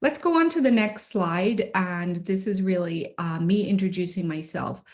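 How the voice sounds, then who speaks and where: A woman's voice: medium pitch, moderate pace, darkish tone. A middle-aged woman from Ireland, presenting slides to parents at an online meeting in a friendly, informal way with frequent ums, on a computer mic. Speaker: special education administrator.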